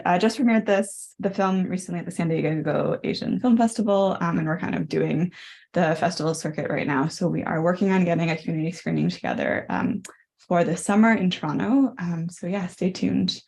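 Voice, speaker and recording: A woman's voice: lowish voice, moderate pace, gravelly, a little mellow. A woman presenting her project at an online symposium, speaking hesitantly with many fillers. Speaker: presenting researcher.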